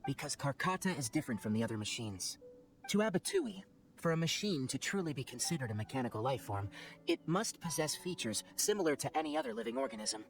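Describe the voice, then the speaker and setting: An actor playing a thoughtful young forest scholar in a video game, explaining in a measured, analytical manner. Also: male voice, medium pitch, animated, natural voice. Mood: thoughtful, explanatory.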